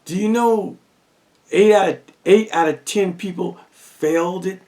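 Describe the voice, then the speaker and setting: A man's voice: high voice, measured pace, darkish tone, far from the mic. A man giving a lecture-style religious teaching monologue, pressing his points with rhetorical questions and repetition.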